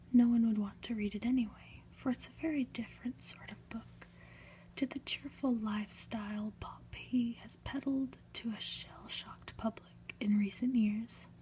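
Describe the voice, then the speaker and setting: Woman, ASMR reader, breathy whisper, fairly high voice, slow pace, soft gentle delivery. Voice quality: breathy, mostly unvoiced whisper. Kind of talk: whispered ASMR, storybook reading and soft chat.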